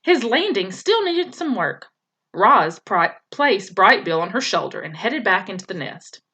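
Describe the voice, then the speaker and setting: A woman's voice: mid-range voice, big pitch swings, measured pace, bright, forceful tone, slightly rough. A woman teacher reading a children's novel aloud, storytelling expressively with occasional slips and restarts.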